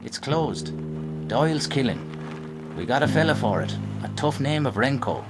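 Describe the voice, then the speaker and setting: A man's voice: mid-range voice, animated, moderate pace, music in the background, natural voice. An actor playing a guarded, uneasy policeman in a noir radio drama, speaking in clipped phrases. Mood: guarded, curt. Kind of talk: 1930s noir radio acting.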